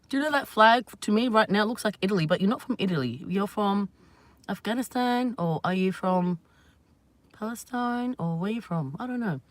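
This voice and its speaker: Chatty, slightly hoarse woman vlogger. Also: fairly high pitch, no echo. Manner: chatty, rambling, laughs often. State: hoarse from screaming. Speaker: channel host. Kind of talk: casual live vlog chat to viewers.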